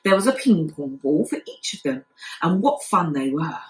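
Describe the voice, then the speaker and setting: A woman's voice: low voice, sing-song, moderate pace. A woman reading a children's story aloud like a primary school teacher, acting out the telling expressively. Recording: echoey room.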